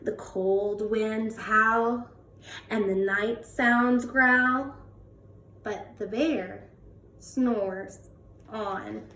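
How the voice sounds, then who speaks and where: A woman reading a picture book aloud to young children in an expressive way, drawing out words and putting questions to the listeners. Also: fairly high pitch, extremely slow, pauses often, a little hiss or hum. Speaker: children's read-aloud host. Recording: roomy echo, distant from the mic.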